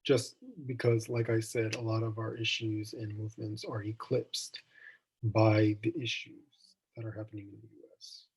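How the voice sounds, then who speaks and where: A man's voice: lowish voice, little pitch movement, normal speed, darkish tone, slightly rough, poor audio. A young man from Jamaica, hosting a casual online student discussion, thoughtful and meandering with frequent ums and restarts. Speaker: student event host.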